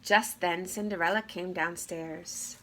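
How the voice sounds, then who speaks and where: A woman reading a fairy tale aloud to children with deliberate, expressive phrasing. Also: on the low side, low-quality recording. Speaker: read-aloud storyteller. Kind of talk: expressive children's story narration. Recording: clear background noise.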